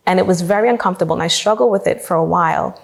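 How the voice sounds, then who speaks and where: Casting: New woman in her forties, low gravelly voice, conference panelist, measured storytelling. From New York, USA. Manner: measured, personal storytelling with "um" pauses. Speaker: panelist, diversity executive.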